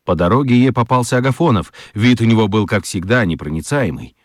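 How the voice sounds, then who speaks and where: A man reads scripted narration for a children's radio play. His voice sits on the low side, and he tells the story expressively. The sound is dry, with no room around it. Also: animated, fairly quick, dry recording.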